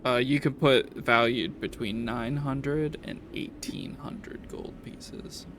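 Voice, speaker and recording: A man improvising narration as game master of a tabletop game, halting with pauses mid-sentence. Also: medium pitch, fairly bright tone, slight background noise.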